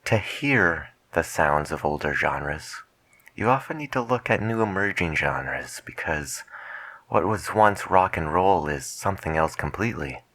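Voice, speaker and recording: A soft-spoken man playing a late night radio DJ in an ASMR style, talking in a measured, reflective, hushed manner close to the mic. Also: deep voice, lively intonation, dry recording.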